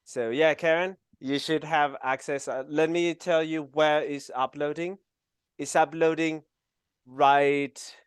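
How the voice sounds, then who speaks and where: A man's voice: fairly high pitch, steady tone, slow, clear, resonant tone, dry recording, studio quality. A man from Mexico with a Mexican accent, teaching a live online animation class in a chatty way, with many fillers and laughs. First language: Spanish. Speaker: animation instructor.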